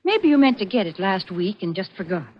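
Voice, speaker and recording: A woman announcer delivering a scripted 1940s radio advertising pitch. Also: very animated, a little fast. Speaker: commercial announcer. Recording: dull vintage 1940s radio recording.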